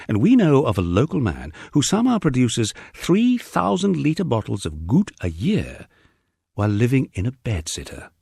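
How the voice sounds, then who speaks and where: A man's voice: mid-range voice, gravelly, a little mellow, slightly rough, no echo, clean studio sound. A man reading a humorous memoir as an audiobook narrator, with a wry storytelling delivery.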